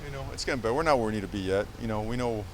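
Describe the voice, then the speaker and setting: A man's voice: fairly low pitch, very fast, noisy background. A man coaching football, answering reporters in a press conference, emphatic and full of fillers and repeated words. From Cuba.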